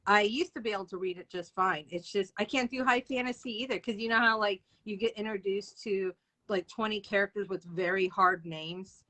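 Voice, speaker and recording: A woman's voice: medium pitch, a little fast, pushed and bright. A woman hosting a casual, informal live interview, chatting freely and often laughing as she talks. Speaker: interview host.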